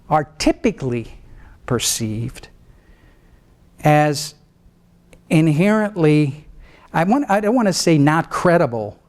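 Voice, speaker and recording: A middle-aged man, a trial lawyer, lecturing law students in an animated way, swinging between a low calm voice and sudden raised mimicry. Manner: animated, mimics other lawyers with rising pitch, then drops low to show restraint.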